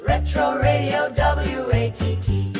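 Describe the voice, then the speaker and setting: A woman's voice: high voice, big pitch swings, slow pace. A woman singing a retro radio show jingle. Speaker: jingle singer. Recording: loud swing music backing.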